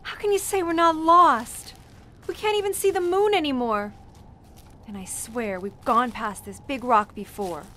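An actress playing a frightened young bank teller on the run in a period suspense audio drama, hesitant and fretful. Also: female voice, high-pitched, unhurried, pushed and bright, a little hiss or hum. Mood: uneasy.

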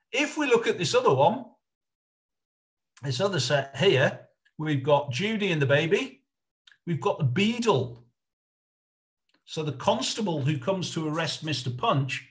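Halting man giving an informal lecture. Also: a little high. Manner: halting, thinking aloud. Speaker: lecturer on puppet history. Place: indoors, online presentation on video call.

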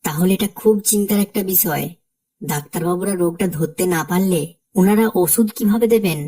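Cartoon: fearful young villager, slightly low, pleading. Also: female voice, fairly quick, dark tone, breathy edge. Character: frightened young village man.

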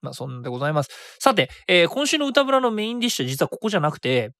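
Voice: mid-range voice, very animated, racing, fairly bright tone, dry recording, studio quality. A radio host chatting casually and solo about a hobby, thinking aloud and self-correcting along the way.

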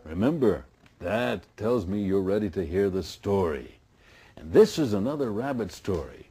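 A middle-aged man telling a folk tale in a folksy, deliberate way with dramatic pauses. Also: on the low side, very animated, mellow, breathy edge. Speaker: storyteller. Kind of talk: oral folk tale storytelling.

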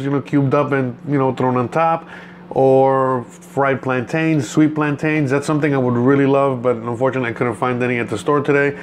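A man in his thirties from Cuba chats casually and ramblingly as he hosts a home cooking vlog. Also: mid-range voice. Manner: easygoing, rambling. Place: home kitchen, indoors. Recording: noticeable background noise in a kitchen.

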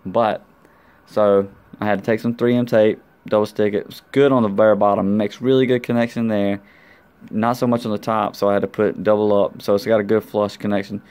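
Guy with a lowish voice doing a laid out how-to vlog, slangy, throws in little sound words, at home. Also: flat delivery, fairly quick, darkish tone, clear, resonant tone. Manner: colloquial, playful sound-effect interjections. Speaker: motorcycle vlogger demonstrating gear. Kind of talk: casual hands-on tutorial chat.

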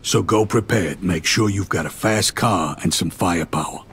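An actor playing a gruff, middle-aged American mobster in a video game, giving orders in a matter-of-fact way. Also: male voice, deep voice, moderate pace, a bit bright, slight background noise. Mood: grave, commanding. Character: middle-aged mob underboss, gruff and businesslike. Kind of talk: video game cutscene acting.

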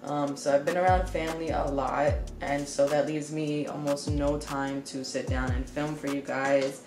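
A young woman with a low voice chats candidly to camera as she does her makeup, dropping plenty of casual fillers. She sounds slightly congested. Her voice carries some room echo, with music playing underneath. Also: little pitch movement, normal speed. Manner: casual and candid, many "like" and "you know" fillers. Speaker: beauty vlogger. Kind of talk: chatty get-ready-with-me vlog. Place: small room with shelves, indoors.

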